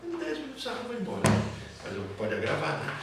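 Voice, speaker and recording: A man's voice: on the high side, huge pitch swings, fast talker. A Brazilian man teaching a class informally, repeating phrases and checking that students follow with short tag questions. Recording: echoey room, far mic, clear background noise.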